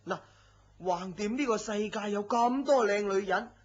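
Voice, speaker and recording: A man's voice: high voice, moderate pace. A man with a Hong Kong Cantonese accent playing a blunt, teasing friend who fusses and scolds in a radio drama. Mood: fussing, reassuring. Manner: exasperated, scolding, animated sighing delivery. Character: blunt, teasing, fussing male friend and co-worker. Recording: dull lo-fi radio drama audio.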